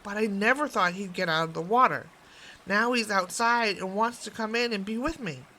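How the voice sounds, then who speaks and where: A spoiled young princess of storybook kind, played by a woman reader. She sounds petulant and dramatic, with a disdainful streak, over clearly audible music. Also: mid-range voice, normal speed, pushed and bright, a little hiss or hum, close to an everyday voice, rough recording. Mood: anxious.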